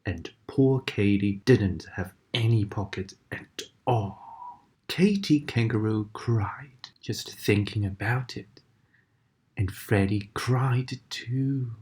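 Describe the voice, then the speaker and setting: A woman reading a children's storybook aloud with expressive, dramatic narration that sometimes drops into a whisper. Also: extremely low pitch, slow pace.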